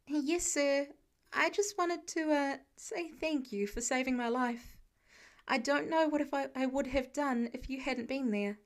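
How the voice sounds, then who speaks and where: A woman's voice: sits high, a little slow. A woman voicing an earnest, awkward teenage boy superhero in an audiobook-style story, polite and hesitant, stumbling over words. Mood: awkwardly grateful.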